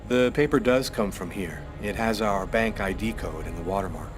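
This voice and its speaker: An actor playing a troubled, guarded fugitive in a video game drama, with somber, introspective delivery. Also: male voice, fairly low pitch, moderate pace, natural voice. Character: troubled fugitive man, haunted, guarded, slipping mentally. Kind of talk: dramatic game acting with inner monologue. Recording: music under the voice.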